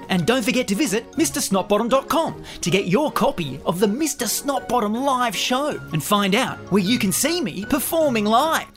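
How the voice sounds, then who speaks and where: Cheeky, excitable kids' science podcast host played by a woman: high voice, very animated, theatrical, speaking straight to young listeners. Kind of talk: playful scripted children's podcast performance.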